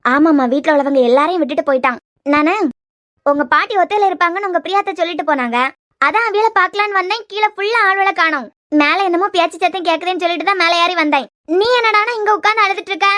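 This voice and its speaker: A woman's voice: very high voice, fast, a bit bright. A firm, motherly aunty. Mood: consoling. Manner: firm, consoling, scolding lightly. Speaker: neighbour aunty in a TV serial. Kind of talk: TV serial acting.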